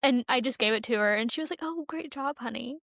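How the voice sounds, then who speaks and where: A young American woman with a fairly high voice talks casually, like a co-host on a podcast. She tells stories in a chatty, informal way, and the sound is close and dry. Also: fast, vocal fry, fairly bright tone, no echo. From Tennessee, USA. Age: young adult.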